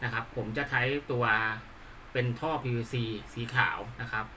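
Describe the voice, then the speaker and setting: A man giving an unscripted hands-on tutorial, explaining politely in a halting, repetitive way. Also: mid-range voice, fairly even intonation, bright, forceful tone, slight background noise. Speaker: hobbyist presenter demonstrating a DIY build.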